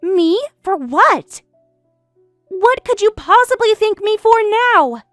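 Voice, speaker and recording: A woman's voice: very high voice, sing-song, slow pace, a bit bright, no room sound, studio quality, faint music behind, heavily acted. A woman voicing a smug, catty young woman who stole her best friend's husband, taunting and boastful in a voiced story drama.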